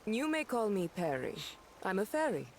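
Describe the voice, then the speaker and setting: A woman's voice: mid-range voice, very animated, normal speed, darkish tone, slight background noise, lightly acted. A woman voicing a poised, polite fairy apothecary in a video game. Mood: poised greeting.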